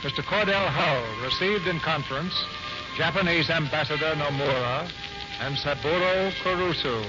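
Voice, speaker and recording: An actor playing a grave, portentous American narrator in a scripted 1940s wartime radio drama. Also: male voice, on the high side, moderate pace, close to an everyday voice. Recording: muffled old radio recording. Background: loud music.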